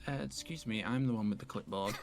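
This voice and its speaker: A man playing a cheeky, pedantic wandering survivor, dry and smart-alecky with hesitant pauses, in an improvised game roleplay. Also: fairly low pitch, creaky. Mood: indignant.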